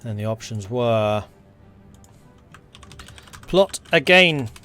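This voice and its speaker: A man's voice: mid-range voice, lively intonation, extremely slow, sharp tone, soft background music. Wry, chatty Scottish man gaming. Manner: chatty, wry, joking asides. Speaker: strategy game streamer. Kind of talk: casual let's-play commentary.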